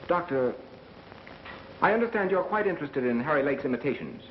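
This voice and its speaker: Guy playing calm wry american amateur detective, old 1930s movie, hissy dull soundtrack. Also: medium pitch, normal speed, natural voice. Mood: probing, accusing. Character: calm, methodical young male radio engineer playing amateur sleuth. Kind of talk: 1930s film acting.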